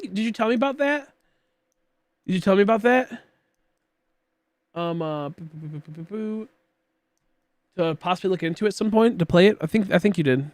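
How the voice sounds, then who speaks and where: A man's voice: sits high, dry recording. Chatty young man streaming, dry close sound. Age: 20s. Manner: rambling, self-deprecating, chatting with viewers and a friend. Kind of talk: casual livestream chat while gaming.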